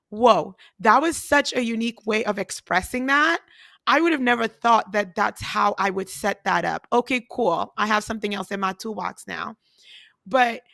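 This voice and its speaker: A woman hosting a casual interview, expressive and talkative, whose first language is not English. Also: fairly high pitch, no echo, studio quality. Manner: expressive, talkative, frequent laughter. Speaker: host.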